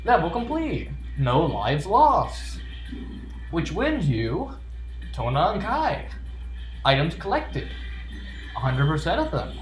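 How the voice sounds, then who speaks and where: A man riffs playfully through a let's play, reading on-screen text aloud and cracking jokes. His unhurried voice sits in a roomy, echoing space, with faint game music running underneath. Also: on the high side, huge pitch swings. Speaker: gamer.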